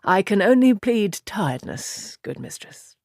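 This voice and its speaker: A woman's voice: mid-range voice, sing-song, slow, no echo, clean studio sound, voice pushed up. A woman voicing a tired, startled male innkeeper apologizing to guests. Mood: weary.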